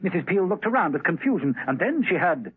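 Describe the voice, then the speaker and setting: A man's voice: sits high, big pitch swings, fast, breathy edge. A man narrating a 1970s radio drama in an urgent, theatrical storytelling style. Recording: narrow 1970s radio recording with music.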